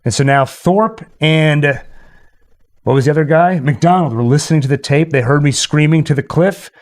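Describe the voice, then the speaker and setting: A man's voice: a little high, moderate pace, darkish tone. A man hosting a livestream, chatting casually and recapping stories in a playful way. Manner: playful, joking. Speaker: livestream host. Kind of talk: casual chat and story recapping.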